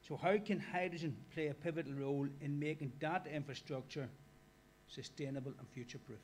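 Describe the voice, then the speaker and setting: Irish male lecturer, fairly high voice, chatty, quizzes the room, light hum. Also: steady tone, a little fast. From Ireland. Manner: conversational, asks the audience questions. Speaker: guest lecturer. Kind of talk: workshop lecture. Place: lecture hall.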